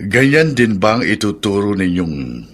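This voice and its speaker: A man playing an earnest middle-aged father and dutiful son, speaking in a measured way in a Filipino audio drama. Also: on the low side, very animated, a bit bright, heavily acted. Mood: serious. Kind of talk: Filipino radio-style drama acting. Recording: music under the voice.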